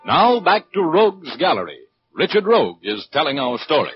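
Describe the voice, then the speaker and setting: A man's voice: a little high, wildly swooping pitch, moderate pace, soft background music. An American man announcing a scripted commercial as a 1940s radio announcer. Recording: muffled 1940s radio, faint hiss.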